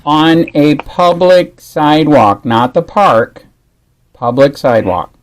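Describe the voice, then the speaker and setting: A fairly high-voiced man making a phone call to dispute a rule. He speaks in halting bursts and presses his point insistently. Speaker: caller disputing a permit rule. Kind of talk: phone conversation.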